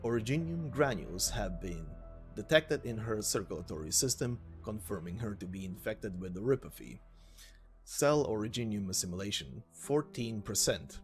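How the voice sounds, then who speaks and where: A man's voice: on the low side, expressive, moderate pace. Casual male gaming narrator over music. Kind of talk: casual commentary, reading game lore aloud. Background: background music.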